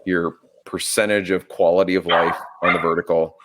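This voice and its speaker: A man's voice: sits low, flat delivery, moderate pace, very noisy. A man in his forties, a triathlete and biology teacher, talking casually in an interview and often interrupting himself mid-sentence. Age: 40s. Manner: rambling, self-interrupting, earnest.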